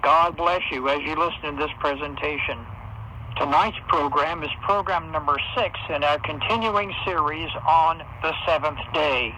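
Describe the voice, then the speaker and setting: Male religious radio host, scripted delivery, forceful bright tone, faint shortwave noise. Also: on the high side, normal speed. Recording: noisy shortwave radio broadcast.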